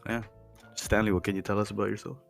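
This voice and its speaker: A man's voice: lowish voice, level tone, quick pace, gravelly, faint music behind. A man co-hosting a casual podcast, asking questions and teasing his friends. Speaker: podcast co-host. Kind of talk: casual chat.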